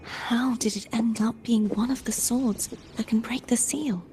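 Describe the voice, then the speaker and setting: A woman playing a solemn young former warrior in a video game, speaking in a measured, formal way with some breathiness. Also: on the high side, steady tone, dark tone, faint music behind, close to an everyday voice. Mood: calm, somber. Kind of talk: scripted video game dialogue.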